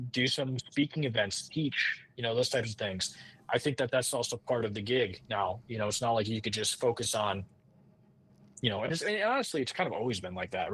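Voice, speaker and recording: A man's voice: medium pitch, fairly bright tone, breathy edge, rough recording. A man chatting casually as an interview guest, informal and rambling, often circling back to restate his phrases. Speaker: composer, podcast guest. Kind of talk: casual conversational interview talk.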